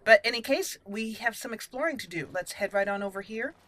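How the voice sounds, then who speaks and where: A woman's voice: on the high side, a little fast, fairly bright tone. A woman hosting a gaming video, chatting casually and thinking aloud as she roleplays. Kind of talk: casual roleplay game commentary.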